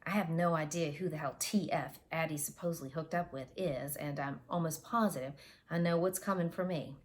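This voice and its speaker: A woman's voice: low voice, fairly quick, slight background noise. Woman reading a novel aloud at home. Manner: reads aloud with character voices and retakes. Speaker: audiobook reader. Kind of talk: first-person novel reading. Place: home office with bookshelves. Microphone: wireless earbuds.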